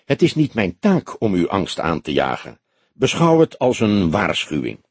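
An unruffled older police detective from a crime audiobook, acted out by a male reader. His delivery is laconic and deliberate, with dry sarcasm and lively swings in pitch. The voice is slightly husky and recorded dry. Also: dry recording, heavily acted. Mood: calm, firm. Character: grey-haired veteran police detective, unruffled, dryly sarcastic. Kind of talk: Dutch audiobook character dialogue.

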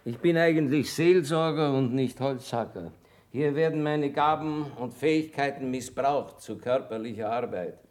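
An actor playing a boastful, cynical army chaplain in a radio drama, pompous and declamatory. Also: male voice, mid-range voice, normal speed, a little mellow, close to an everyday voice. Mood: aggrieved, boastful. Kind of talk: stage-style German radio drama acting.

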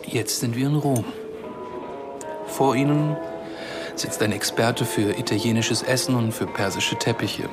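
Radio drama role: polite, sardonic Palestinian man played by an actor, mid-range pitch, music bed. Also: a little slow, pauses often, close to an everyday voice. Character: Palestinian gentleman from East Jerusalem, sardonic, courteous.

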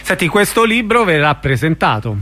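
A man's voice: high voice, big pitch swings, moderate pace, bright, forceful tone. Informal male radio interviewer. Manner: informal, questioning.

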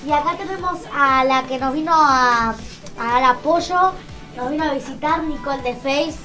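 A woman's voice: high voice, moderate pace, pushed and bright, faint music behind. A young woman with an Argentine accent, hosting a casual live community radio show in Spanish. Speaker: radio host.

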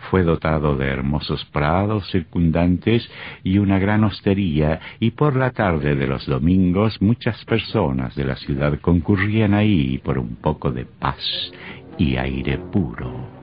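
A man acting as the grave, deliberate narrator of a horror radio drama. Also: very deep voice, animated, exaggerated voice. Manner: slow, deliberate storytelling. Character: grave male narrator of a sinister radio tale. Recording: dull lo-fi old radio recording, faint music.

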